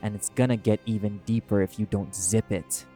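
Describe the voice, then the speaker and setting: A man playing a brash, guarded wrath demon in an audio roleplay, speaking in a curt, gruff, cool-headed way. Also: lowish voice, fairly even intonation, moderate pace, mellow, natural voice. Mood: stern, irritated. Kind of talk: dramatic audio roleplay acting. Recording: music under the voice. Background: music.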